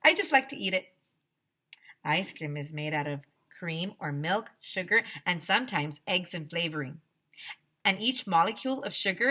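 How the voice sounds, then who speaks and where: A woman teacher reading a children's book aloud in an expressive way, as if talking directly to young listeners. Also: on the low side. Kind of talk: animated storybook read-aloud.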